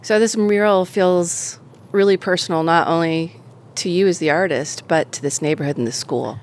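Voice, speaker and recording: A woman hosting a radio arts feature, reading scripted introductions in a crisp, measured way and asking relaxed questions. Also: fairly low pitch, slight background noise. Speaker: radio host. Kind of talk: radio feature narration and interviewing.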